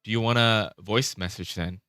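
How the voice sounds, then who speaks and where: A man's voice: lowish voice, steady tone, normal speed, bright, forceful tone, smooth, no echo, studio quality. A man chatting casually on a vlog, rambling and restarting his sentences, swearing now and then. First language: Vietnamese. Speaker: vlogger.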